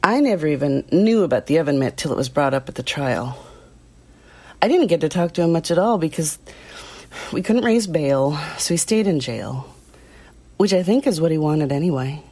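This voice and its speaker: An actress playing a plain-spoken, quietly loyal working woman, subdued and reflective, in a documentary-style audio drama interview. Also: low voice, lively intonation, normal speed, husky, full character voice.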